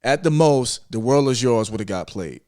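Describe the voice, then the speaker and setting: A man's voice: mid-range voice, expressive, moderate pace, mellow, dry recording, clean studio sound. Insistent American man debating music casually. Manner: insistent, repeats points for emphasis. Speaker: podcast host.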